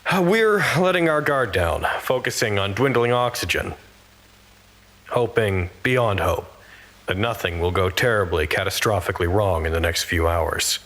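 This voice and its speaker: A man's voice: lowish voice, animated, normal speed, fairly bright tone. A man playing an earnest, anxious facility worker who reports to command in a wordy, formal audio drama monologue. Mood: nervous, resolute.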